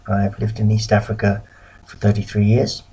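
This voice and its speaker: A middle-aged man from the UK giving a recorded lecture as a missionary, measured and pausing often. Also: fairly low pitch, monotone, dark tone, slightly rough, rough recording. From United Kingdom.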